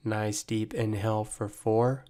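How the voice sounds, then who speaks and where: A man guiding a body scan meditation, giving gentle, measured instructions with long pauses. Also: on the low side, fairly even intonation. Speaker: meditation guide, mental performance coach.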